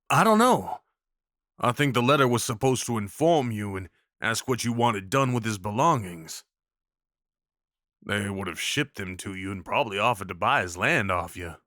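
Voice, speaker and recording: A man's voice: mid-range voice, a bit bright, dry recording. Man playing a folksy Old West cowboy, close and dry. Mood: earnest, steady. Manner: folksy, reassuring, informal. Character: kindly rough-spoken Old West cowboy guide, protective and awkward. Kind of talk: intimate audio roleplay acting.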